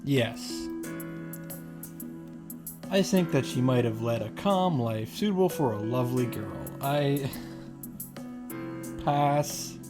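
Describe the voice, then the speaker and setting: A woman's voice: very deep voice, lively intonation, slow, pauses often, music in the background. A woman giving casual, sarcastic commentary on a visual novel, reading along and dropping profane asides. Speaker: visual novel reaction host.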